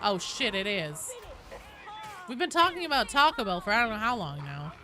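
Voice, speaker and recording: A woman's voice: medium pitch, big pitch swings, moderate pace, a crowd in the background. A woman chatting casually as a game streamer, informal and sweary, joking dryly with her viewers. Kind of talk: casual chat with viewers, reading and answering comments.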